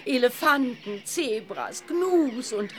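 A woman's voice: on the high side, lively intonation, slow pace, a crowd in the background. A woman playing an exuberant, theatrical lady reporter on holiday in a children's audio play, effusive in manner. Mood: rapturous.

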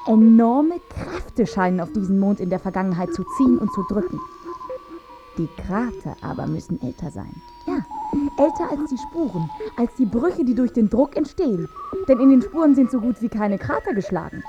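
A woman's voice: on the high side, very animated, moderate pace, dull audio, over music. A woman acting as a factual narrator in a radio drama, reading in a documentary style. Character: factual female narrator describing moon surfaces.

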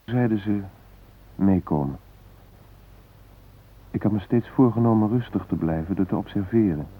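An actor playing a shaken former hostage, retelling a brush with death in an intense, introspective radio drama monologue. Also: male voice, lowish voice, moderate pace, slightly rough. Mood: tense, haunted. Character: shaken male former train hostage recounting facing death. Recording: muffled, narrow-band old radio recording.

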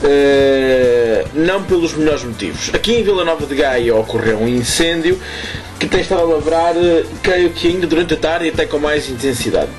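Hoarse European Portuguese male radio host, fairly high voice, chatty and rambling, faint music underneath. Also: lively intonation, moderate pace. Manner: rambling, self-correcting, chuckling asides. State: hoarse from smoke. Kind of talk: casual radio show chat.

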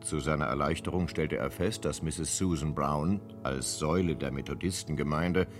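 Low-voiced storyteller over music. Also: male voice, moderate pace. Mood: composed. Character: composed third-person storyteller. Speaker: narrator. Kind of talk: scripted radio play narration.

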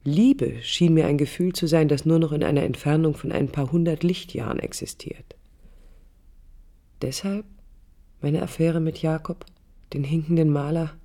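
An actress playing a disillusioned young divorced woman, recounting her past in a hushed, reflective, confiding radio play monologue. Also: low-pitched, fairly even intonation, moderate pace, pauses often. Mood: bitter, searching. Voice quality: husky, breathy. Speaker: narrator and protagonist. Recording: music under studio radio drama. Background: music.

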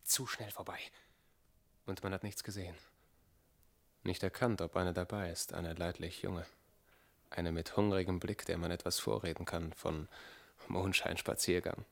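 Young cynical charmer in a radio drama, brooding, deep with lots of creak. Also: male voice, fairly even intonation, moderate pace, a bit bright, exaggerated voice. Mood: disappointed. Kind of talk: German radio play monologue.

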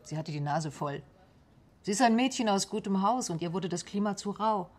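A woman's voice: medium pitch, normal speed, a little mellow, natural voice. A woman acting in a radio play as a level-headed, pragmatic private detective, matter-of-fact and composed.

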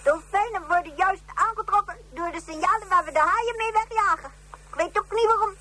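A woman playing a knowing young sea guide in a science fiction radio play, brisk, dry and slightly teasing. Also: very high voice, sharp tone, a little hiss or hum. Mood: matter-of-fact.

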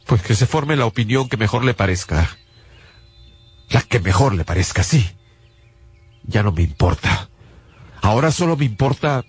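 An actor playing a haunted, desperate survivor of an invasion, telling his story in halting, anguished phrases in a radio drama. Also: mid-range voice, slightly rough. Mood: bitter, defiant. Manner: halting, repeating words, anguished. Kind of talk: dramatic first-person radio drama narration. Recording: loud music under the voice.

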